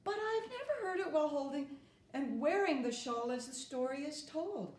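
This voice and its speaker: An eager, earnest little girl of eight, played by a woman storyteller, pleading politely in a high voice. She is persistent and childlike, in a storybook tale recorded in a very roomy space. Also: expressive, normal speed, darkish tone. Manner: earnest, wheedling. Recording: roomy, echoing room.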